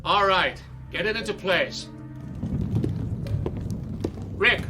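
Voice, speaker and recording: A strict impatient theater director, kinda high pitched, lecturing the cast. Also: male voice, very slow, bright, forceful tone, soft background music, heavily acted. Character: stern middle-aged male drama director. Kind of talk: radio drama acting.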